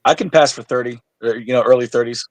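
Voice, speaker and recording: A man's voice: medium pitch, fast talker, no echo. A man of thirty-nine, a podcast guest in casual chat, blunt and swearing freely, stumbling over restarts. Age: 30s. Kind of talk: casual conversation.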